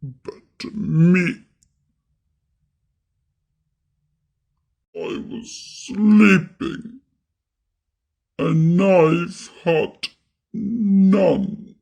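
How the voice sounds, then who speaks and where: A woman voicing a big, grumpy, wounded brown bear in a storybook, complaining in a gruff, drawn-out growl. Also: lowish voice, full character voice. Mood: sorrowful, aggrieved. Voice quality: gravelly, husky growl. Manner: slow, drawn-out, loud complaining. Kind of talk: picture-book read-aloud character voice.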